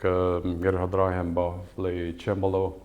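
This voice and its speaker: A man in his sixties with an Estonian accent, giving a lecture as a guitar professor in hesitant English with many fillers and pauses. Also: sits low, completely flat delivery, narrow, tinny audio. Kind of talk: lecture with halting non-native English. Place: lecture hall at a music academy.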